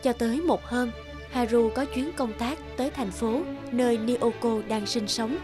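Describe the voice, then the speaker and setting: A woman's voice: a little high, measured pace. A woman reading scripted storybook narration as the narrator. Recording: background music under the voice.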